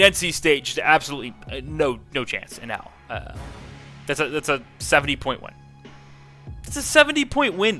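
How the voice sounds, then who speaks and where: A young man rattles through the week's league scores as host. His voice is bright and sits a touch high, and he sounds hyped and jokey, often restarting mid-phrase. A soft bed of music runs underneath. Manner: energetic, joking, hyped-up with quick restarts. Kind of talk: video game league recap commentary.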